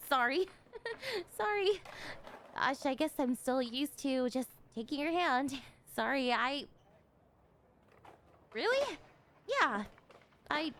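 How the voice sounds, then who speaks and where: A high-pitched young woman skater, acted by a woman speaks in casual slang to an old friend. She is emotive and halting, affectionate with an apologetic streak. It is an ASMR roleplay recording with a faint layer of background noise. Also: fairly bright tone, full character voice. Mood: flustered, playful. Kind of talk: ASMR roleplay acting, casual one-sided dialogue.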